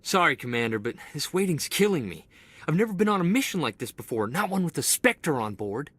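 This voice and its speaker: An actor playing an eager, restless young male corporal in a video game cutscene, talking in an excitable, boyish way. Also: fairly high pitch, a little fast, slightly rough, no echo, exaggerated voice. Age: young adult.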